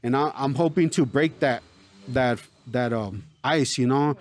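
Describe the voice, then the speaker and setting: A man born in Chicago and raised in El Paso, a rapper talking casually in an interview, relaxed and streetwise, always saying "you know". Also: mid-range voice, a little slow. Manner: laid-back, streetwise, lots of "you know". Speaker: local rapper, interview guest.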